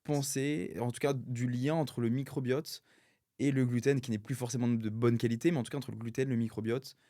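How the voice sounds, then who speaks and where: A man's voice: mid-range voice, fairly even intonation, brisk, warm tone, no room sound, studio quality. A young man hosting a podcast, asking hesitant questions and repeating words as he goes. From family of Italian origin. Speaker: podcast host. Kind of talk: casual podcast interview.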